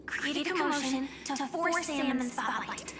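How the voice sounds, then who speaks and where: A woman playing a gentle, determined young female warrior in a video game, earnest and soft-spoken. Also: high voice, moderate pace, background noise, close to an everyday voice. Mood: determined, planning.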